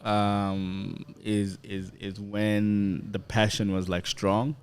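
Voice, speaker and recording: A man from Zambia, a guest on a podcast, talking casually and thoughtfully with many pauses and restarts. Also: fairly low pitch, little pitch movement, clear, resonant tone.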